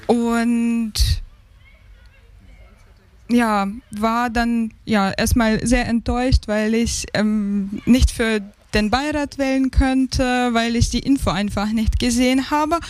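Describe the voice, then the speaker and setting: A woman's voice: on the high side, steady tone, slow pace, darkish tone. A woman in her thirties from Ukraine with a Ukrainian accent, answering as an interview guest and hesitantly searching for words. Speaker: interview guest, advisory board member.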